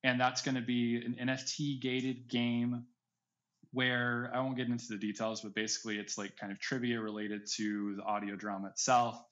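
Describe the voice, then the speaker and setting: A man talks as a guest in a casual interview. His voice sits at an average pitch and his phrasing is loose and informal, full of filler "like". The recording is a little dull and lo-fi. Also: flat delivery, normal speed, a bit bright. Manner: informal, heavy use of "like" and "right". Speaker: guest, NFT project creator.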